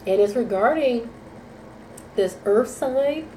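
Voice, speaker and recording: A woman's voice: a little high, animated, slow pace, darkish tone, reverberant, a little hiss or hum, rough recording. A woman giving a casual, rambling tarot reading. She sounds nasal from sinus congestion and keeps checking in with "okay?" and "you know". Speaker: tarot reader.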